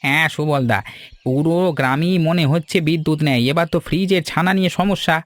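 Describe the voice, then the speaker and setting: A man playing a curious, anxious village shop helper in an animated story. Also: a little high, a little fast, dry recording, natural voice.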